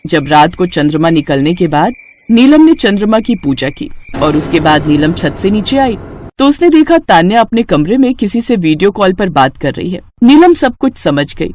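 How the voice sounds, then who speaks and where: A woman's voice: fairly low pitch, very animated, normal speed, darkish tone, slight background noise. A woman storyteller giving scripted narration of a family tale.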